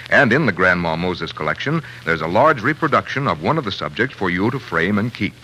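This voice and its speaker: A man's voice: sits low, big pitch swings, brisk, sharp tone. A man reading a scripted 1940s radio advertisement in a polished, persuasive, emphatic announcer's manner. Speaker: commercial announcer. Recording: faintly noisy 1940s radio recording.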